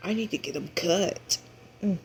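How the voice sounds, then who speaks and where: A woman's voice: on the low side, moderate pace, loud music behind the voice. A woman casually chatting to viewers in an app tutorial, talkative and often dropping into a whisper.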